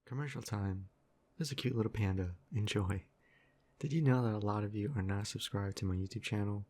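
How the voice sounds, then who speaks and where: A man with a fairly low voice delivers a short promotional plug as a channel host. There is faint noise and some clicking in the recording. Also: a little fast, a little mellow.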